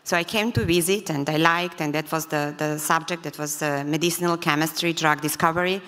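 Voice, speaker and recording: Low, even-toned Serbian-accented woman in hall. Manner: hesitant, frequent pauses and fillers. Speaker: conference speaker, entrepreneur. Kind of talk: spontaneous personal talk. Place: large indoor conference hall. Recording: reverberant conference hall.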